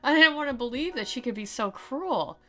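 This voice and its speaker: A woman plays a bitter teenage schoolboy in a visual novel. The delivery is exaggerated and melodramatic, sharp and forceful, full of self-pity. Faint music plays behind. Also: sits high, lively intonation, fairly quick, pitched above the performer's own voice. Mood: indignant, wounded. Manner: dramatic, exaggerated.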